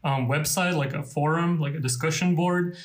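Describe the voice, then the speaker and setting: A man's voice: fairly high pitch, moderate pace. Young man, casual and rambling. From Lithuania. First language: Lithuanian. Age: 20s. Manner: casual, rambling with many fillers and light laughs. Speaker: freelance designer interviewee. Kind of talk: documentary interview.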